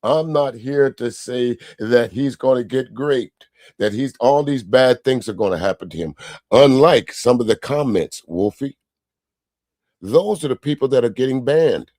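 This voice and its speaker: A man's voice: medium pitch, animated, measured pace, a little mellow, no echo, studio quality. A man hosting a livestream, giving casual commentary and replying to viewer comments in an argumentative way with rhetorical questions. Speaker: livestream host.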